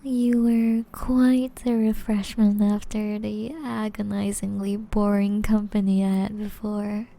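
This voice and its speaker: Casting: actress as seductive vampire lady for intimate ASMR, very slow, breathy, whispery, crackling with fry, possessive and teasing. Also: medium pitch, flat delivery, dark tone. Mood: tender, teasing. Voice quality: breathy, often dropping into whispers. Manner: slow, teasing, old-fashioned endearments.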